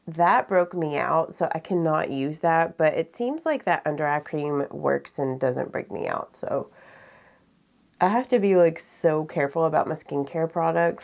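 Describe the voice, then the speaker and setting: A young woman with a stuffy, nasal head cold talks through her makeup in a rambling way, full of fillers. Her voice sits low and has a gravelly fry to it. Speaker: beauty vlogger. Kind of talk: casual get-ready-with-me chat.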